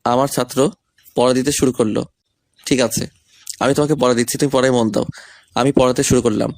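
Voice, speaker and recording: Young guy telling a romantic story, middle pitch, doing the lead's lines too. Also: steady tone, a little fast, dry recording. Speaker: story narrator and male lead. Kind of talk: scripted first-person romantic story narration with dialogue.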